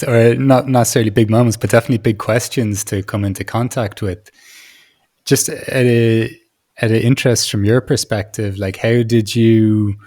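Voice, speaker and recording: Male podcast interviewer, lower-leaning pitch, casual and searching, rephrases questions as he goes. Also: darkish tone. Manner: tentative, searching for words, circling questions.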